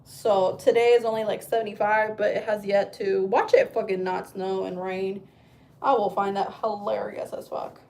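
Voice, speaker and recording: A woman's voice: mid-range voice, steady tone, moderate pace, darkish tone. A woman in her thirties, from Chile, chatting casually on a livestream from home, rambling and swearing freely. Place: at home, indoors.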